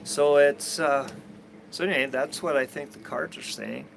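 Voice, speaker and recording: A man's voice: fairly high pitch, steady tone, creaky. Man, Upper Midwest American accent, rambling casual talk, lots of fillers and restarts, sailboat outdoors, faint noise behind. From Minnesota, USA. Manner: halting, many restarts and fillers, blunt. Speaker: sailing vlogger. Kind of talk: rambling casual vlog chat.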